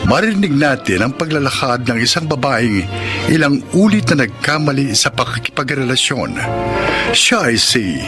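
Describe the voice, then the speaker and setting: A male Filipino narrator presents a scripted radio drama introduction. His medium-pitched voice is lively and varied, with loud music underneath. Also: moderate pace.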